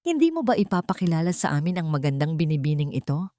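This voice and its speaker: A woman voicing an important male CEO in a romance story, genial, commanding and clear. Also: low-pitched, very animated, fast talker, no room sound, studio quality. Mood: genial, expectant. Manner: loud, clear. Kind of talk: storybook romance drama dialogue.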